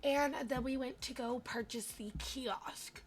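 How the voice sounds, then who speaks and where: A woman with a hoarse, raspy voice that cracks in and out, giving casual, rambling, self-deprecating voice-over commentary on gameplay. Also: high-pitched, steady tone, takes it slowly, soft background music. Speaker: gaming video host.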